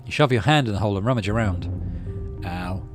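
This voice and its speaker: A man's voice: lowish voice, animated, brisk, music in the background. A man casually hosting a game playthrough, reading narration aloud and muttering his thinking as he plays. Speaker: gaming channel host.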